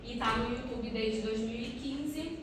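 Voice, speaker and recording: High-voiced Brazilian woman in a very echoey room. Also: measured pace. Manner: informal asides, frequent tag questions. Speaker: doctoral student presenting research. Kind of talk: academic conference presentation, semi-spontaneous. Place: echoey indoor room at academic conference. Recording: echoey room, distant mic, clear noise.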